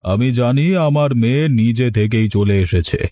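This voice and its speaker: Casting: man playing proud middle-aged landlord for a cartoon, soft dark timbre, measured authority, dry sound. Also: mid-range voice, no echo, close to an everyday voice. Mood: softened, measured. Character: proud village landlord, concerned father softening toward daughter. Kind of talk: cartoon voice acting.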